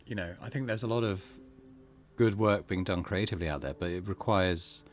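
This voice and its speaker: A man giving casual let's play commentary as a gaming host, reflective and hesitant, often trailing off. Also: sits low.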